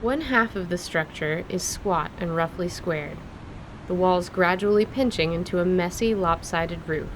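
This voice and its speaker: A woman's voice: lowish voice, a little hiss or hum, poor audio. A woman reading scripted fantasy story narration for an audio drama.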